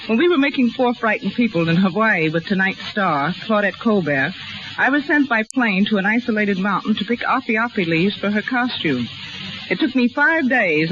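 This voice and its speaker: A woman's voice: medium pitch, noisy background. Woman, 1930s radio guest, scripted storytelling with dry quips, dull lo-fi recording.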